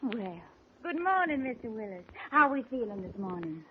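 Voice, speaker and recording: A brisk but soothing nurse in a 1940s radio drama. Her voice is high and lively in pitch, coming through tinny, phone-like sound. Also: a little hiss or hum. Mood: cheerful.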